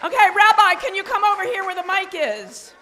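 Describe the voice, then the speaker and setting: A woman's voice: very high-pitched, animated, a little fast, crowd noise, noisy background. A woman hosting a live family event, announcing in an exclamatory way. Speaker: event host. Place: social hall, live.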